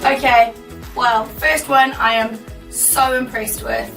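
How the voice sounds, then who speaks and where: A woman with a croaky, worn voice chatting casually to camera as a baking vlogger, bubbly and laughing easily. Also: on the high side, fairly even intonation, slow, a bit bright, roomy sound, recorded from a distance, faint music behind, rough recording. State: croaky, losing her voice.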